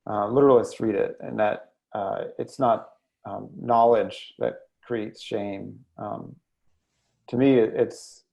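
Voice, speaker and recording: American man, creaky mellow voice, reflective. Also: on the low side. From United States. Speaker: guest poet and editor. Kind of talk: thoughtful interview conversation.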